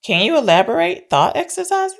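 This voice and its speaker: A woman co-hosting a film podcast in casual banter, blunt and quick to question and interject. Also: high-pitched, big pitch swings, gravelly.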